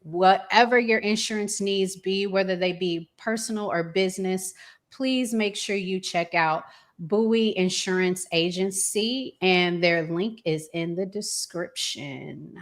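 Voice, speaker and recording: A woman's voice: mid-range voice, unhurried. An American woman hosting a casual relationship talk show, conversational and repeating words for emphasis. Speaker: host.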